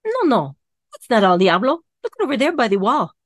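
A woman voicing Saint Peter as a brisk, dismissive old saint, raising her voice and speaking quickly. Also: on the high side, huge pitch swings, no room sound, clean studio sound, pitched above the performer's own voice.

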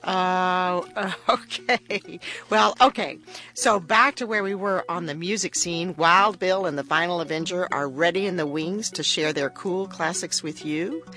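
A woman's voice: on the low side, measured pace, pushed and bright, soft background music. A woman in her fifties, from the border region, hosting a casual radio interview in an enthusiastic, chatty way. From US–Mexico border region. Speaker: radio show host.

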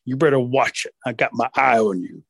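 A man voicing a huge grumpy bear in a folk tale, slow, stern and menacing. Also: medium pitch, vocal fry, slightly rough, no echo, studio quality. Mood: annoyed, threatening.

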